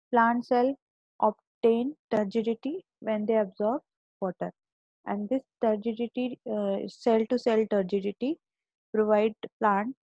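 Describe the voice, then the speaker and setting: Woman teacher with a mellow, dry voice. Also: on the high side, no echo, muffled recording. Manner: explanatory, repeats and restarts words, stern with students. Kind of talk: online class lecture.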